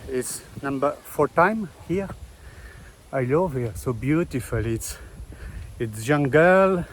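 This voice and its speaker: A man from Belgium with a Belgian accent, talking to camera in a casual travel vlog, eager and chatty in halting non-native English, outdoors on rural hills. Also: medium pitch, lively intonation, a little hiss or hum. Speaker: travel vlogger.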